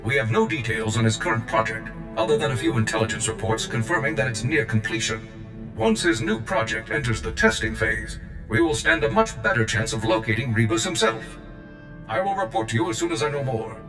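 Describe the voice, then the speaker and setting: An actor playing a loyal, zealous military droid in a video game, speaking formally, precisely and earnestly. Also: male voice, fairly low pitch, monotone, a little fast, natural voice. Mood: formal, reporting. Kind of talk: scripted video game acting. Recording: game cutscene with echo and music.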